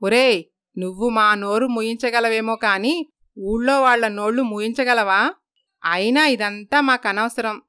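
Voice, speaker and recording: A woman's voice: fairly high pitch, a little slow, bright, forceful tone, clean tone, dry recording, studio quality. A woman voicing a worried, stern older mother-in-law in a moral story.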